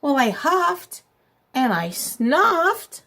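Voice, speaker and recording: Woman reading as a chatty, gravelly wolf. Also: high-pitched, sing-song, drawn-out words, sharp tone, voice pushed up, exaggerated voice. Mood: persuasive. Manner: chatty, persuasive storybook telling. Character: smooth-talking male wolf pleading his innocence.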